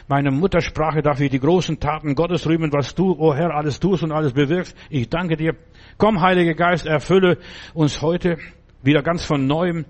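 Man, preacher, fervent sermon, slightly high voice. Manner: emphatic, fervent, exclaims names loudly. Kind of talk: sermon and prayer.

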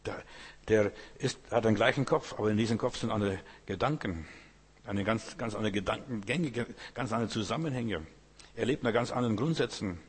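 A man's voice: on the low side, moderate pace, husky, in a large hall. A man from Lithuania, whose first language is Lithuanian, preaching an informal sermon in a chatty way and often asking his listeners whether they follow. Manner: conversational, keeps asking "verstehst du?".

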